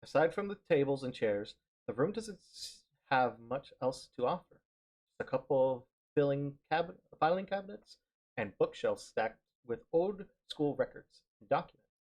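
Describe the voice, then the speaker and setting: A man reading a visual novel aloud on a stream, narrating haltingly and often repeating words as he goes. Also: mid-range voice, animated, a little mellow, rough recording. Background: music.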